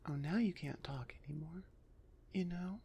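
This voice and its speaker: A woman voicing a big, gruff female minotaur executioner with grudging, teasing menace in an ASMR roleplay. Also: lowish voice, normal speed, warm tone. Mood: suspicious, relenting.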